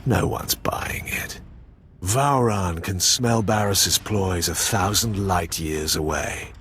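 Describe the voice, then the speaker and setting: A man's voice: deep voice, a bit bright, slight background noise. An actor playing a menacing male Sith enforcer in a video game, sneering and taunting. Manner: taunting, deliberate. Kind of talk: video game villain acting.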